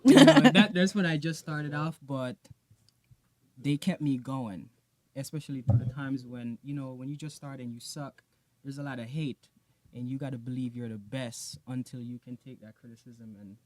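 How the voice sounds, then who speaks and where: Man telling a relaxed story. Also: mid-range voice, moderate pace, poor audio. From Jamaica. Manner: relaxed, slangy storytelling with repeated phrases. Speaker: guest, music artist. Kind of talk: casual podcast interview.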